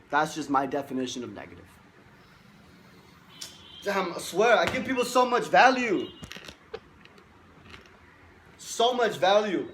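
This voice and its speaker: A man's voice: sits high, fairly bright tone, a little hiss or hum. A man in his twenties, a life coach giving a boastful, emphatic motivational monologue and repeating himself for stress. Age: 20s.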